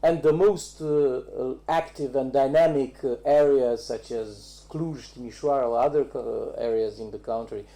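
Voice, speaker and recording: Halting man with a very soft, dark voice. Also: mid-range voice, fairly even intonation. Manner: halting, searching for words. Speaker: academic panelist. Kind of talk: academic round-table discussion.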